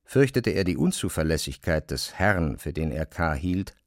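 Low, creaky, expressive male narrator. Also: normal speed, dry recording, clean studio sound. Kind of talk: dramatised literary narration.

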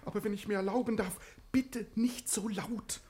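An actor playing a meek, deferential prison underling who speaks in a hushed, anxious way in a 1960s German radio drama. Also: male voice, sits high, steady tone, moderate pace, lightly acted. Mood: meek, nervous. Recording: noisy old radio recording.

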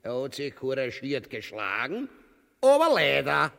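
An actor playing a boastful, cowardly petty tyrant in a grotesque radio comedy, blustering in a southern German-Austrian dialect. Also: male voice, medium pitch, huge pitch swings, a little slow, gravelly, fairly bright tone, heavily acted. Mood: boastful, pompous. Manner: pompous, blustering, mock-solemn. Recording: long reverberant hall sound.

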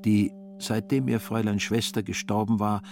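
A man narrating a literary radio play in a wry, deliberate manner with theatrical emphasis. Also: on the low side, steady tone, over music.

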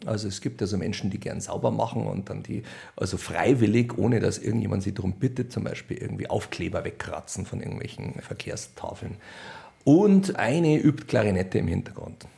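A man's voice: lowish voice, brisk, vocal fry, warm tone. A man with a Bavarian accent answering interview questions in a wry, colloquial, conversational way. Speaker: radio play author and director being interviewed.

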